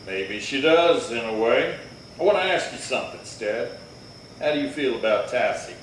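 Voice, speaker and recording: A steady radio-Western marshal played by a man speaks with measured gravity. He sounds far from the mic in a strongly echoing hall, reasoning firmly and persuasively. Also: medium pitch, lightly acted. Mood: grave, persuading. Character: steady, grave Old West lawman marshal. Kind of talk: Western radio drama acting. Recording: echoey hall, distant mic, faint noise.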